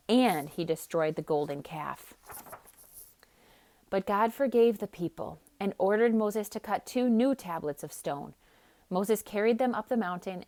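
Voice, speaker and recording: A woman storyteller reading a picture book aloud for children in an expressive, dramatic style. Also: mid-range voice. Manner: expressive, dramatic, with hushed emphasis. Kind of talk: theatrical read-aloud narration with casual asides.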